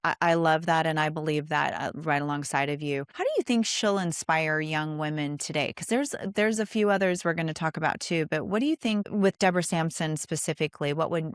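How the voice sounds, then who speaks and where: A woman's voice: low voice, brisk, few pauses, smooth, dry recording, studio quality. A woman hosting a conversational interview podcast, affirming and enthusiastic about her subject.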